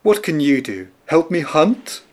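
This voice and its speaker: A proud storybook lion, big and male, gruff and scoffing, voiced by a man reading the tale. His lines sit a bit higher and louder than the plain narration. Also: sing-song, unhurried.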